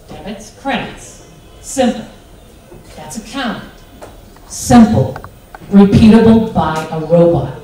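Middle-aged American woman, big animated keynote delivery, roomy PA sound from far back. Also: mid-range voice, a little hiss or hum. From United States. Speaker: conference speaker. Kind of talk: lively conference talk. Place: large hotel conference hall. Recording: echoey hall, PA from far off.

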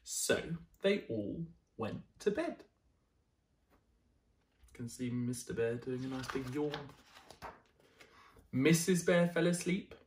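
A young man reading a bedtime picture book to children in an expressive, deliberate way. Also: mid-range voice, far from the mic, slight background noise. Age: young adult. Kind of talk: animated children's story reading. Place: small indoor room, seated. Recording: roomy indoor echo.

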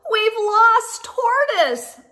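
A woman voicing eager, needy little storybook animals, calling out plaintively with drawn-out words. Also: extremely high pitch, big pitch swings, bright, forceful tone, voice pushed up, full character voice.

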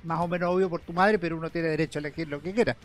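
High-voiced male host asking long questions. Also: fairly even intonation, very fast, faint music behind. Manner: friendly, long winding questions. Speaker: historian and TV show host. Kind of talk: interview hosting. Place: TV studio set. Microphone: headset mic.

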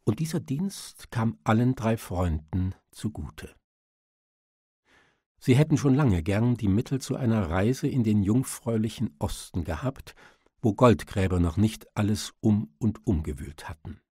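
A male audiobook narrator reading literary prose in a lowish, dark voice. It has a husky grain, and the recording is dry and close. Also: lively intonation, measured pace, dry recording.

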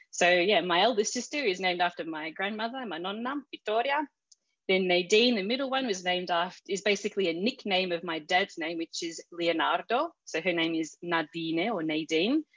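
A woman's voice: lowish voice, a bit bright, dry recording, lo-fi sound. An Australian woman telling a personal story in a casual interview, chatty, with many fillers and restarts. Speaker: interview guest.

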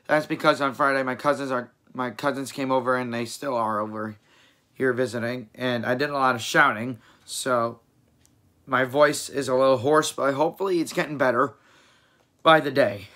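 A hoarse young man hosting a reaction video, rambling and correcting himself as he talks in a small room. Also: mid-range voice, steady tone, normal speed, bright, forceful tone. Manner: rambling, self-correcting, chatty. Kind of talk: casual reaction commentary.

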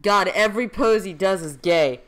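A playful voice of middle pitch reacts casually, as if chatting with a friend. The delivery is teasing and loose, with free swearing and joking asides. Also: normal speed, fairly bright tone. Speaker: comic dubber reading and reacting. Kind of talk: casual joking reaction commentary with read-out dub lines.

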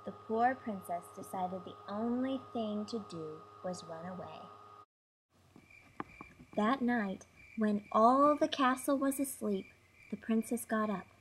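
A woman's voice: medium pitch, slow, slight background noise, rough recording. A woman reading a children's fairy tale aloud as a storybook narrator. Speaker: storybook reader.

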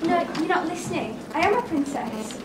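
A woman's voice: sits high, expressive, brisk, noisy background. A young woman playing a sweet, girlish fairy-tale princess in a stage pantomime, projecting innocently. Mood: eager. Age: young adult. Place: theatre stage before an audience. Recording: echoey distant theatre stage, music behind.

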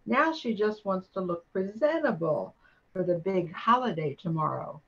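A woman's voice: mid-range voice, animated, moderate pace, fairly bright tone, muffled recording. An older woman announcing and narrating a scripted amateur radio play.

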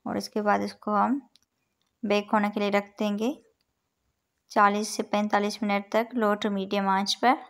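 Calm gravelly woman hosting a cooking channel. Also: medium pitch, measured pace, pauses often. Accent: Urdu-influenced Hindustani. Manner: calm, instructive, counts out measures. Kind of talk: step-by-step recipe voice-over. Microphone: voice-over over overhead cooking shots.

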